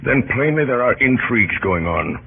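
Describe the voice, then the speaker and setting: An actor playing a grave, resolute wartime American president in a solemn, measured, oratorical 1930s radio drama style, with a husky voice. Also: male voice, medium pitch, lively intonation, slight background noise. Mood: quietly probing. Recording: muffled, phone-like 1930s radio recording.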